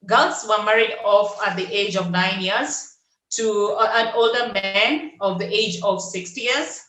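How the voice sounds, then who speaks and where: A woman from Kenya, presenting on a video call in a halting way, with many fillers and restarts. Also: mid-range voice, fairly bright tone, reverberant, poor audio. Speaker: webinar presenter.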